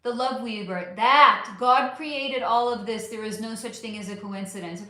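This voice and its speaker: A woman's voice: a little high, moderate pace, sharp tone. A woman hosting a casual livestream chat, profane and affectionate, losing her voice. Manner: chatty, profane, affectionate with viewers. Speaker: livestream host. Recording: very echoey room.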